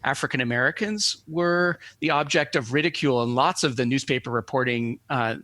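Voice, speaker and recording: A man giving thoughtful scholarly interview answers as an academic guest, speaking in a measured, halting way with formal wording. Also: mid-range voice, sharp tone. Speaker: academic guest, media historian.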